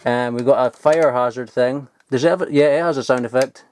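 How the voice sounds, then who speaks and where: A man's voice: mid-range voice, a little fast, darkish tone, slight background noise. A young man giving a casual, sweary, enthusiastic show-and-tell in an informal vlogging style. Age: young adult. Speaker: vlogger showing old toys. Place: loft at home, indoors.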